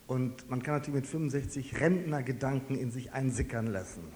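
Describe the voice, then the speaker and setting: A man in his sixties from Westphalia, preaching to a small gathering in a conversational, personal way with lively emphasis. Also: mid-range voice, brisk. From Westphalia, Germany. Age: 60s. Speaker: pastor. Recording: reverberant hall with faint hiss.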